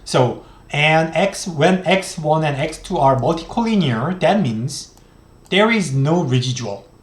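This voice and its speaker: A man in his thirties, a native Korean speaker with a Korean accent, lecturing on economics in a halting, step-by-step way with many restarts. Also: a little high, lively intonation, roomy sound. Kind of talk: recorded online lecture. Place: small room indoors.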